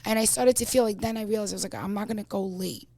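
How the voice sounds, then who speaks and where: A woman's voice: medium pitch, fast, dark tone. A woman in her thirties with a New York accent, chatting as a podcast guest and telling stories full of fillers. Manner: chatty storytelling, lots of fillers. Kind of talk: casual podcast chat.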